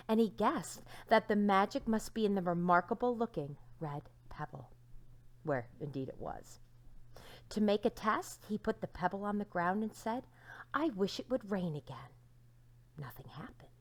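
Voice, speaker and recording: Expressive woman reading a storybook aloud. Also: medium pitch, normal speed. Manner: expressive, dramatic emphasis with hushed line endings. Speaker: teacher reading aloud to young children.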